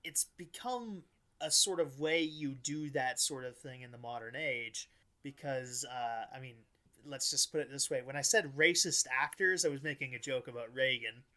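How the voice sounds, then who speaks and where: A man's voice: mid-range voice, normal speed, pushed and bright. A man co-hosting a podcast, giving casual analysis in a choppy, hesitant way with many restarted sentences. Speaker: podcast co-host.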